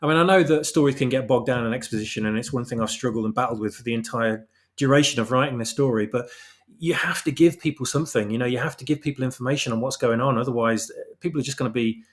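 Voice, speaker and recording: A man speaks quickly in a casual podcast discussion, with a mid-pitched voice. He is emphatic and opinionated, often restating a phrase to press it home. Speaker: guest, fan-fiction writer.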